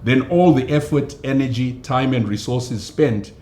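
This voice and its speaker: A man, a pastor and actor, answering interview questions in a measured, hesitant way with many fillers. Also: mid-range voice, mellow. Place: studio. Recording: echoey studio with faint noise.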